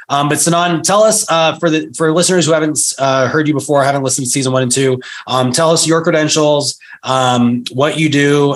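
A man's voice: mid-range voice, fairly bright tone. Joking male podcast host. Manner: playful, joking interviewer with many fillers. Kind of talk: casual chat.

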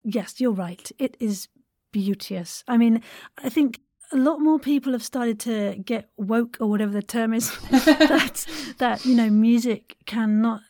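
Female podcast host, dark mellow voice, casual effusive interview style, dry close recording. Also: fairly high pitch, a little slow, dry recording.

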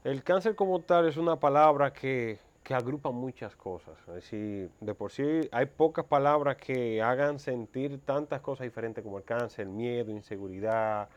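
Dominican man, slightly muffled recording. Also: medium pitch. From Dominican Republic. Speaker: interview guest. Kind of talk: explanatory conversation.

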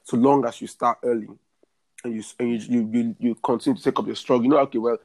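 Thirties guy giving career advice, earnest, says things twice, average pitch. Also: fairly even intonation, fast, darkish tone. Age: 30s. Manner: earnest, repeats phrases while building a point. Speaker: guest.

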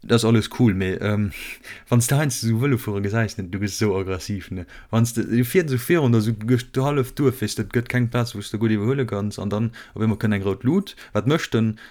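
A man's voice: on the low side, a little fast, a little mellow. A man with a Luxembourgish accent chatting casually as a podcast co-host, rambling with many ums and frequent laughs. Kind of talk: casual chat.

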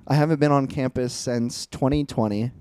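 A young man in his twenties talks through his thoughts in an earnest, reflective way. His voice is dark and smooth, and it sounds dry, with no room echo. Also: medium pitch, fairly even intonation, moderate pace. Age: 20s. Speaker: podcast co-host. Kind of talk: casual chat.

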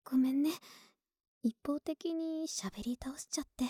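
A woman playing a clingy, sweet young neighbor in an ASMR voice drama, soft and breathy, often whispering, intimate and coaxing, close to the mic. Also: sits high, a little slow, no room sound. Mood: tender, sleepy. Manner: intimate, affectionate, hesitant and coaxing. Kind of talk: Japanese ASMR situation voice drama. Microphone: close, dry ASMR microphone.

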